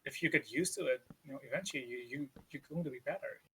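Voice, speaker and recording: A man whose first language is Vietnamese, chatting casually as a podcast co-host and telling stories in an animated way. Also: medium pitch, fast, slight background noise, rough recording. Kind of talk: casual chat.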